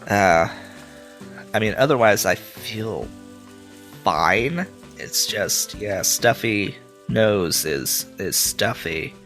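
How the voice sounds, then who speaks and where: A man's voice: lowish voice, animated, extremely slow, fairly bright tone, faint music behind. A man with a stuffy nose from a cold, rambling through casual gameplay commentary with many filler sounds. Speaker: let's play host.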